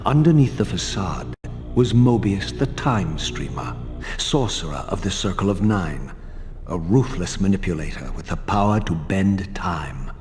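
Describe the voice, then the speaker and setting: An actor playing a proud, vengeful vampire wraith in a video game, speaking in a formal, theatrical, measured way. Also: male voice, sits low, very animated. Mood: reflective, disdainful. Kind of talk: dramatic game voice acting. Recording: reverberant hall, music underneath.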